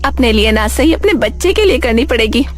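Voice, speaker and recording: A woman voicing a calm middle-aged mother who firmly comforts her frightened neighbor. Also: fairly high pitch, brisk, faint music behind, lightly acted. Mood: steadying. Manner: firm, reassuring.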